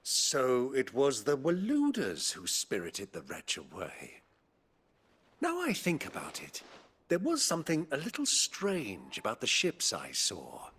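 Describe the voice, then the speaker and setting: An avuncular older nobleman from a fantasy game. His middle-pitched voice swings extremely widely as he speaks in grand, flowery phrases. He sounds theatrical and slightly pompous, keen on adventure. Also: wildly swooping pitch, a little slow, a bit bright, husky, exaggerated voice. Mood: pondering. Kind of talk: scripted fantasy game dialogue.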